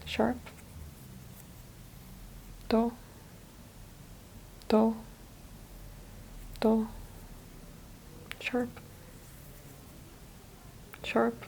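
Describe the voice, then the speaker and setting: Female ASMR patient, hushed short replies, extremely unhurried, slightly higher relaxed voice, faint noise. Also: creaky. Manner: quiet, terse one-word answers. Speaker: patient in ASMR exam roleplay. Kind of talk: soft-spoken ASMR roleplay responses.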